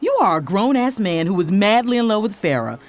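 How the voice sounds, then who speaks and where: A woman playing a proud, composed young lawyer and elder sister, narrating and acting in a first-person audio drama with deliberate expression. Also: mid-range voice, big pitch swings, close to an everyday voice. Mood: proud, challenging.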